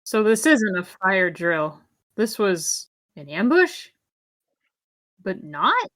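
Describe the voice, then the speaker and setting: A woman's voice: medium pitch, very animated, slow pace, fairly bright tone, slight background noise. A woman chatting casually and asking in-character questions as a player in a tabletop role-playing game.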